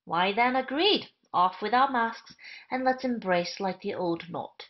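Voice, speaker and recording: A woman's voice: medium pitch, animated, measured pace, close to an everyday voice. A woman playing a roguish, affected male traveller in a period comedy audio play, with flowery, mock-courtly speech. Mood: mock-grand. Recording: dull, lo-fi audio.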